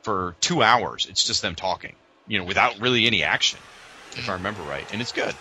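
A man's voice: lowish voice, expressive, a little fast, bright, forceful tone, slight background noise, poor audio. A man co-hosting a podcast, talking casually and emphatically with a fan's strong opinions. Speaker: podcast co-host. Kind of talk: casual fan discussion.

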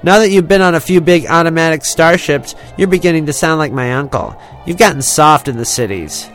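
A man voicing an excitable, boastful young farm-boy pilot in an audiobook, with eager enthusiasm. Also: a little high, moderate pace, faint music behind, voice pushed up, lightly acted. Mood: earnest.

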